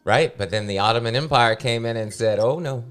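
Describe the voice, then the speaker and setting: A man's voice: medium pitch, fairly quick, fairly bright tone, smooth. A man hosting a podcast, asking curious questions and sharing fun facts in easy conversation. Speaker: podcast host. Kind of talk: casual podcast interview.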